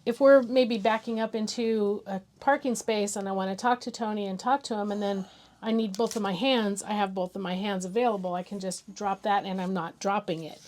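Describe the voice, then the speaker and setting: A woman's voice: mid-range voice, steady tone, brisk, darkish tone. An American woman co-hosting a casual gadget review in a chatty, conversational way.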